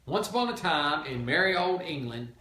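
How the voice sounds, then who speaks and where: An older man reading a children's storybook aloud in an animated, grandfatherly style. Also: a little high, moderate pace. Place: indoors at home, children around. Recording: echoey room, background noise.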